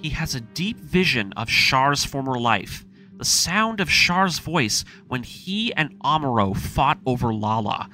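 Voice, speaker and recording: Animated man narrating a video essay. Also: medium pitch, a little slow. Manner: opinionated, informal, emphatic. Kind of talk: scripted anime story breakdown with casual asides. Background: background music.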